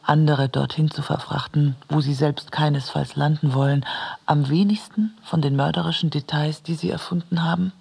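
Husky, creaky-voiced man reading. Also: a little high, fairly even intonation, normal speed, a little mellow. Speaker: reader in a radio drama. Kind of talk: literary narration, radio play.

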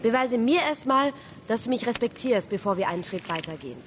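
A woman's voice: on the high side, animated, a little fast, noisy background, close to an everyday voice. A woman acting in a German radio drama as a wary, self-possessed space traveller with a dry, pointed manner. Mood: defiant, dry.

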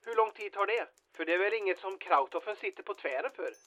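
An actress playing an impatient, bossy, businesslike informant in a period audio drama. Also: female voice, medium pitch, expressive, a bit bright, natural voice. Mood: urgent, businesslike. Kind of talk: period radio drama acting.

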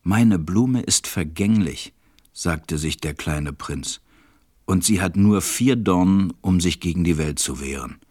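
A man's voice: low-pitched, a little slow, a little mellow, husky. A man narrating a children's story in a scripted radio play.

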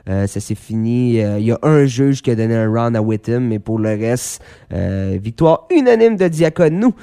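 A man's voice: mid-range voice, expressive, moderate pace, warm tone, clean tone. A Québécois man hosting a radio sports show, talking boxing in a rambling, casual way with many fillers and restarts.

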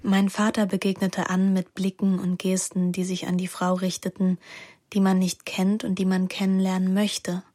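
An actress playing a sensual, carefree teenage daughter, narrating intimately and with measured calm in a radio drama. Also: female voice, mid-range voice, no echo, close to an everyday voice. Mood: reflective, intimate.

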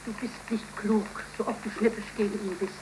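An actress playing a practical, scolding middle-aged mother in a 1930s German radio play, brisk and chiding. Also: medium pitch, flat delivery, close to an everyday voice. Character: practical, scolding, money-minded widowed mother. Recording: noisy 1931 radio recording with sizzling hiss.

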